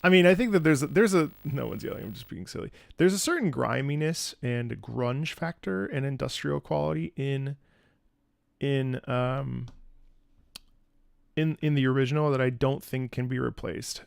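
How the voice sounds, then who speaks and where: A man's voice: medium pitch, normal speed, vocal fry, no echo. A man giving casual, playful live stream commentary on game cutscenes, swearing freely.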